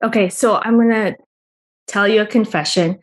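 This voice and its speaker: A woman from the Philippines, a guest artist answering interview questions in a friendly way, often laughing softly mid-sentence. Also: mid-range voice, steady tone, moderate pace. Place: Albany region, New York.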